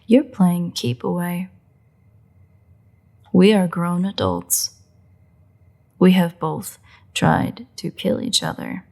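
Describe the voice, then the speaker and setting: Woman voicing a female vampire, husky and breathy, gravelly fry, sarcastic, playful, predatory, audio roleplay. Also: lowish voice, big pitch swings, slow pace, pauses often, mellow, heavily acted. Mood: exasperated. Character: teasing, unrepentant female vampire, sardonic and predatory. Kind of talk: intimate roleplay audio drama.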